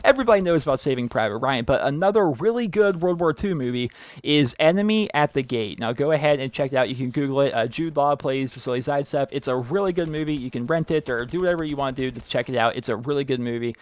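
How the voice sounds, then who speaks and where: A man's voice: mid-range voice, animated, fast, no echo. A young man hosting a gaming channel, chatting casually and losing his voice, rambling with lots of fillers. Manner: rambling, filler-heavy, "like" after every few words. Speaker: YouTube gaming channel host. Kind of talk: casual chat answering viewer questions.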